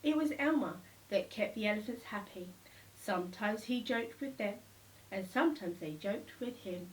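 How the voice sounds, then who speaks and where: A woman reading a children's storybook aloud, with expressive, deliberate phrasing and emphatic repetition. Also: mid-range voice, a little hiss or hum. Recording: slightly distant microphone.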